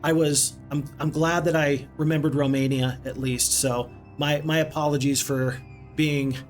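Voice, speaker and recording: A man's voice: a little high, flat delivery, normal speed, soft background music. A man casually commenting on his strategy game stream, rambling and chatting to viewers. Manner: chatty, rambling, reads viewer chat aloud.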